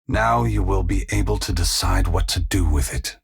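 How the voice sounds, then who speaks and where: Deep, gravel-throated weary god. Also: male voice. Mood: gentle, sorrowful. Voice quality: gravelly, husky. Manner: slow, measured, philosophical, formal. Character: weary, compassionate male god, grave and solemn. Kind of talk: video game voice acting, dramatic dialogue.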